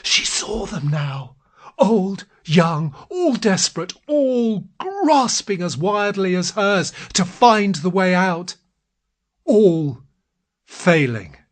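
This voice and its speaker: Male narrator for audio fiction: husky, breathy, deliberate, intense dramatic delivery. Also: sits high, very animated. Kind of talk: dramatic literary story narration.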